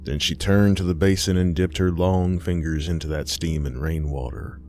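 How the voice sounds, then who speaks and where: A man's voice: low-pitched, steady tone, moderate pace, darkish tone, faint music behind. A man narrating a scripted horror story as an audiobook-style reader.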